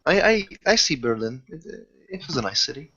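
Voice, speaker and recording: Halting man roleplaying over dull, dry voice chat. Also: on the high side, big pitch swings, pushed and bright, slightly rough, no room sound. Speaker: player in an online roleplaying game. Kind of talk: in-character improvised roleplay chat.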